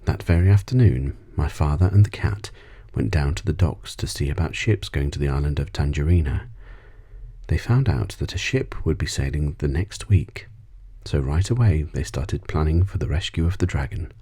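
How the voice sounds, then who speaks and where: A man reading a children's story as soft ASMR bedtime narration. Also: rumbling low, animated, normal speed, slightly rough. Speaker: audiobook reader.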